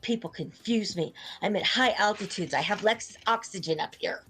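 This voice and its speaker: Woman, livestream co-host, casual banter, mid-pitched voice, music behind. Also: moderate pace, a bit bright.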